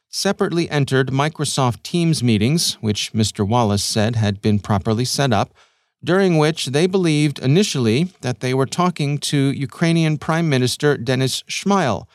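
A man hosts a news podcast in a measured, articulate delivery. His scripted narration has a dryly wry edge, and his mid-pitched voice is recorded very dry, with no room sound. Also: no echo.

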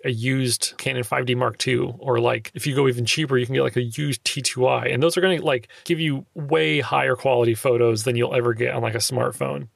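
A young man with a slightly nasal, gravelly voice talks casually as a podcast host, peppering his explanations with fillers. His pitch sits in the middle range. The sound is close and dry, recorded in a small treated closet. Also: no room sound. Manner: loose, filler-heavy explaining with frequent "like". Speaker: podcast host answering listener questions. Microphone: handheld recorder close up.

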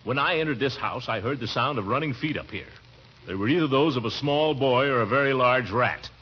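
An actor playing a blustering, easily exasperated but doting middle-aged uncle in a 1940s radio comedy, pompous and sputtering. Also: male voice, mid-range voice, brisk, close to an everyday voice. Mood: grumbling. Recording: muffled vintage radio recording with hiss.

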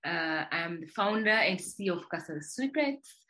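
A woman's voice: fairly low pitch, expressive, moderate pace, pushed and bright. Tanzanian-raised woman telling her story. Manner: reflective, many restarts and fillers. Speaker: podcast guest, company founder. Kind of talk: casual interview.

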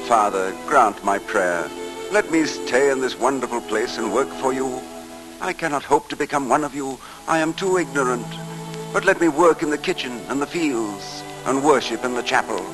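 A man's voice: mid-range voice, moderate pace, close to an everyday voice, poor audio. A man voicing a humble, simple juggler who pleads earnestly and self-effacingly in an old radio story, with music underneath. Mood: humble pleading.

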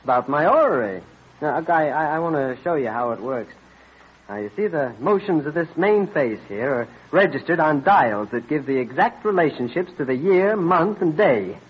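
An actor playing an earnest, absorbed colonial astronomer husband in an old-time radio drama, explaining eagerly with mild hesitations. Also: male voice, on the high side, lively intonation, normal speed, slightly rough. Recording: dull vintage radio recording.

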